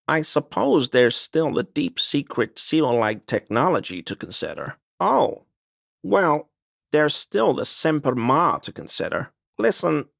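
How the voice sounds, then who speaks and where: A smug, paranoid crank from a video game, with a creaky, gravelly voice. He explains his theories pedantically and insinuatingly, swinging his pitch expressively in a dry, close recording. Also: a bit bright, no echo, heavily acted. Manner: pedantic, insinuating, mock-apologetic. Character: smug, paranoid cryptofascist man peddling conspiracy theories. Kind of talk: game character dialogue, conspiratorial explaining.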